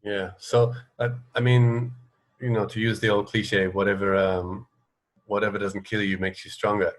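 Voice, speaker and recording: Male interview host, lowish voice, casual and thoughtful, with tentative, stop-start phrasing. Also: flat delivery. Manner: halting, tentative, circling phrasing.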